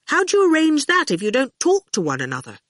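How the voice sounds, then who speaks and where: A woman's voice: sits high, huge pitch swings, fast, a bit bright, no room sound, clean studio sound, voice pushed up, full character voice. A woman voicing a mild, polite, reasonable boy in an audiobook. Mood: questioning. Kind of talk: audiobook character voice.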